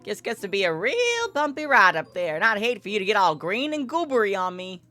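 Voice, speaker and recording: A woman's voice: medium pitch, big pitch swings, brisk, pushed and bright, faint music behind. A woman voicing a cheerful, hearty male farmer with a folksy country drawl in a visual novel read-aloud. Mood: jolly, teasing.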